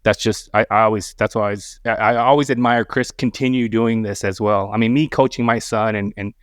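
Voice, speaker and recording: A man's voice: fairly low pitch, steady tone, a little fast, smooth, no room sound. Casual, profane middle-aged man, dry recording. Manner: profane, repeats words, self-deprecating. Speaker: podcast guest. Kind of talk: casual banter and storytelling.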